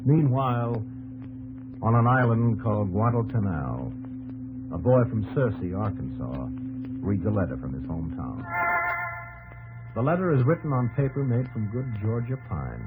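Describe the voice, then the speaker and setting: A man playing a solemn, stirring narrator of American history in a 1950s radio documentary, speaking poetically with dramatic pauses. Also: fairly low pitch, sing-song, phone-quality sound. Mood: storytelling. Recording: 1950s radio broadcast with loud organ music. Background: dramatic organ music.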